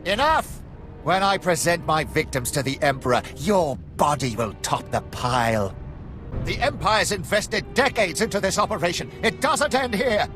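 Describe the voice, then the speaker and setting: A fanatical Imperial commander from a sci-fi game. He is arrogant and cruel, declaiming with haughty menace in a fairly high male voice over loud music. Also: sing-song, normal speed. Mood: enraged. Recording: music behind the voice.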